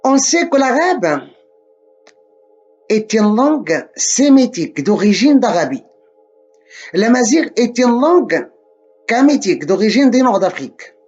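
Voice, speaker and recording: A man from Morocco giving a slow, deliberate spoken lesson, often repeating and correcting himself. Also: extremely high pitch, big pitch swings, a little mellow, dull audio, faint music behind.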